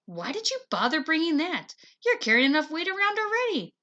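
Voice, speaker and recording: A woman's voice: sits high, wildly swooping pitch, fairly quick, bright, forceful tone, muffled recording, pitched above the performer's own voice, full character voice. A woman reading a storybook's bossy big sister, an indignant, commanding girl who speaks emphatically. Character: bossy, exasperated big-sister girl, a grammar-minded planner. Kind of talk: children's storybook dialogue.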